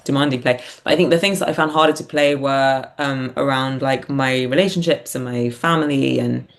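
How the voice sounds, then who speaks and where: A woman's voice: rumbling low, steady tone, a little fast. A woman speaking casually as an interview guest, reflective and thinking aloud as she answers. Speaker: podcast guest, writer and performer.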